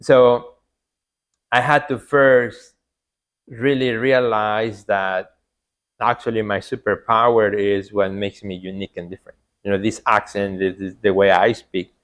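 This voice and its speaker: Earnest Mexican-accented man, fairly low voice. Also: little pitch movement, a little slow, fairly bright tone. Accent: Mexican Spanish. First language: Spanish. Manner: earnest, repeats words and adds "you know" often. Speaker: podcast guest, artist coach. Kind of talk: casual interview talk.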